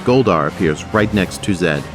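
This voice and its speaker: A man's voice: low voice, expressive, normal speed, music in the background. A man narrating an action audio drama from a script.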